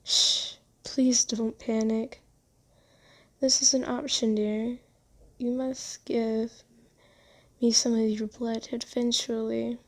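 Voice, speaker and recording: Woman as a slow, gentle lady vampire. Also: fairly high pitch, level tone. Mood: gentle, coaxing. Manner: gentle, coaxing, halting delivery. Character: kind, possessive female vampire owner, soothing and genteel. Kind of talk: ASMR roleplay, scripted monologue.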